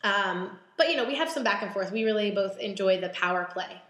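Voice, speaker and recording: A young woman in her twenties, a podcast guest talking candidly and haltingly, with lots of fillers and restarts. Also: medium pitch, lively intonation, a bit bright. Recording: roomy echo.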